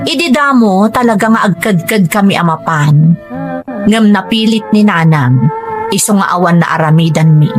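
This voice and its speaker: A woman plays a reflective grown daughter telling a family tale as audio drama. Her female voice is mid-pitched and measured, with clear background music under it. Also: lively intonation, lightly acted. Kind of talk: scripted Ilocano drama narration.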